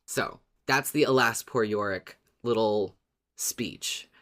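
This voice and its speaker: A man's voice: lowish voice, takes it slowly, fairly bright tone. A man co-hosting a podcast, explaining things at length in casual conversation and laughing easily. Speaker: podcast co-host.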